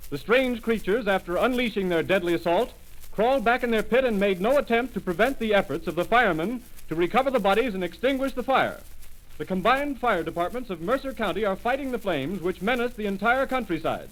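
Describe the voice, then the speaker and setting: An actor playing a radio announcer reading urgent news bulletins in a crisp manner, in a 1930s radio drama. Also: male voice, high voice, expressive, fairly quick. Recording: scratchy 1930s radio recording.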